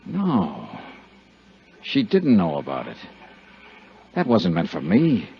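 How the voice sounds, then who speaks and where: Hard-boiled radio detective, animated delivery. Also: male voice, sits low, takes it slowly, slight background noise, full character voice. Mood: grim. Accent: American. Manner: terse, clipped, dramatic storytelling. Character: hard-boiled male insurance investigator, tough and world-weary. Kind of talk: 1950s radio drama narration and acting. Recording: dull 1950s radio recording with music.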